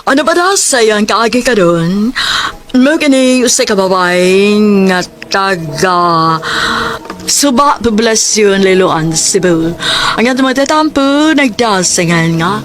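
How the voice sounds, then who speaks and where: A woman's voice: medium pitch, lively intonation, slow pace. A woman hosting and narrating a scripted radio drama. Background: background music.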